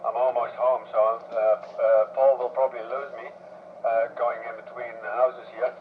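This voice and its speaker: A man's voice: mid-range voice, flat delivery, normal speed, a little hiss or hum. Hesitant man on a muffled mobile radio. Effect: two-way radio. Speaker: amateur radio operator. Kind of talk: casual radio chat. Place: in a moving car.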